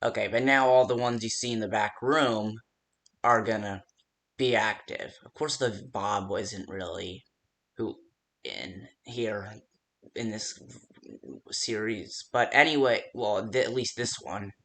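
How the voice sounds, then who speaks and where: A man plays a nervous male night-shift coworker on the phone in a fan-made horror game. His voice is fairly low yet bright and forward. He talks slowly and haltingly, stumbling through his security instructions. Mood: instructive, casual. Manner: hesitant, halting, stammering delivery. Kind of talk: amateur horror game phone-call voice lines.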